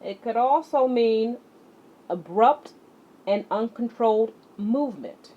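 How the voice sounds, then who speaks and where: A woman teaching children as an exercise leader, speaking deliberately and emphatically, addressing her students directly. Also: fairly high pitch, animated. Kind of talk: instructional lesson to children, read-along with warm-up exercises. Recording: muffled audio with clear background noise.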